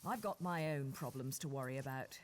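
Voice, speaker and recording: A woman's voice: very deep voice, a little fast. An actress playing a sultry, self-assured British witch heroine in a video game, aloof and teasing. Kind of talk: video game cutscene acting.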